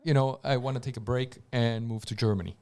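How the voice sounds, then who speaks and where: A man's voice: mid-range voice, steady tone, fast talker, a little mellow, clean tone. A man from Colombia, a guest engineer, telling his story on a casual radio talk show with many restarts and repeated words.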